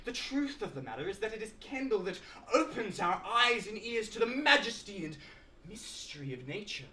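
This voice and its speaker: A man's voice: high-pitched, very animated, moderate pace, bright, forceful tone, heavily acted. Young man acting pompous Australian academic, echoey stage. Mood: earnest, oratorical. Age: teens. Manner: pompous, florid, oratorical. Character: pompous, aggrieved male academic lecturer slowly unravelling. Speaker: student actor. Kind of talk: theatrical stage monologue. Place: indoor school drama showcase, filmed performance. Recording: roomy echoing stage, faint noise, clicks.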